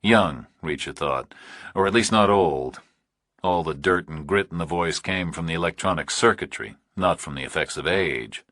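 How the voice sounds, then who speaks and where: A man's voice: sits low, moderate pace, fairly bright tone, slightly rough, no echo, clean studio sound. A man reading scripted thriller narration as an audiobook narrator.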